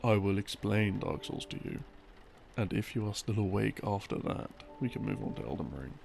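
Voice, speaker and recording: A man playing an affectionate, laid-back gamer boyfriend in an intimate ASMR roleplay, rambling softly and hesitantly to soothe his partner to sleep. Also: fairly low pitch, animated, vocal fry, slight background noise. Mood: teasing, relaxed. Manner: soft-spoken, hesitant, teasing, with small chuckles and long pauses. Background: background game music.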